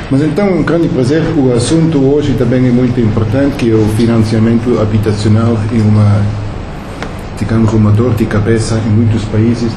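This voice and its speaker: A man whose first language is not Portuguese, with faded Brazilian traits, giving friendly, unhurried welcome remarks at a workshop and pausing to find words. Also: fairly low pitch. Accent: foreign speaker of Portuguese with faded Brazilian traits. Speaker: World Bank country director. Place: meeting room. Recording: echoey room with loud background noise.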